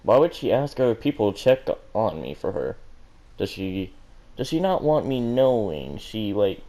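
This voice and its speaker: A man's voice: fairly low pitch, sing-song, moderate pace, dark tone. A man voicing a lovesick, self-doubting teenage girl in a story reading, hesitant and anxious. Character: lovesick, anxious teenage girl fretting over her crush.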